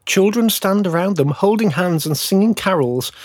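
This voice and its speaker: A man voicing small, eager, knowing sparrows in a storybook, chirping excitably as they tell what they have seen. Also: sits high, moderate pace, dry recording, clean studio sound, voice pushed up. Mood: eager, chirpy.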